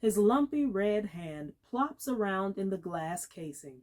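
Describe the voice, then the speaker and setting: A woman giving a dramatic literary reading, measured and expressive, with deliberate pauses. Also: medium pitch. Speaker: actor reading aloud.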